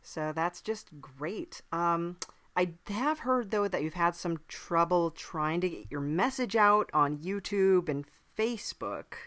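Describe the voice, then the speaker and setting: Low-pitched woman hosting a casual podcast. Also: lively intonation, slow pace, a bit bright, no room sound. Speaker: podcast host. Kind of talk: casual comedic interview.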